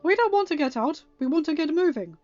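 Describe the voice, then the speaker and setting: A woman's voice: sits high, lively intonation, quick pace, darkish tone, voice pushed up. A woman voicing an exasperated male Jedi master in a story reading, with a bossy, exclamatory, dry manner. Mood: commanding. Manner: exclamatory, bossy, dryly quipping. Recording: dull, band-limited audio with background music.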